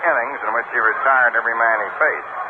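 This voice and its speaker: An American man calling baseball play-by-play on 1960s radio in short, clipped phrases with pauses between pitches. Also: fairly even intonation. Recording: noisy vintage AM radio broadcast, narrow tinny band.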